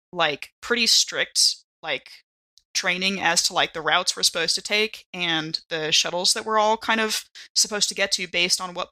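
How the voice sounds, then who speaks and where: Bright, low-pitched woman playing a tabletop game. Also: no echo. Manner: chatty, thinking aloud. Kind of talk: casual, improvised game play.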